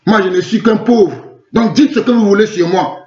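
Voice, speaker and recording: A man's voice: high-pitched, normal speed, a little mellow. A middle-aged man from Togo with a Togolese French accent, preaching live online in an emphatic way, repeating phrases and asking rhetorical questions. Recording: roomy, echoing, muffled lo-fi sound.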